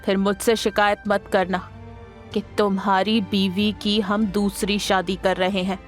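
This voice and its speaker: A woman's voice: medium pitch, flat delivery, a little slow, soft background music, natural voice. A woman playing a domineering, scornful old grandmother in an audio drama, taunting and commanding. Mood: cold threatening. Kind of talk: Hindi audio drama acting.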